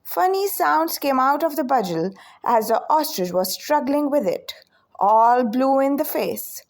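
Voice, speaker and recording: Female storyteller, children's read-aloud, highish pitch, highly varied melody, expressive delivery. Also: a little slow.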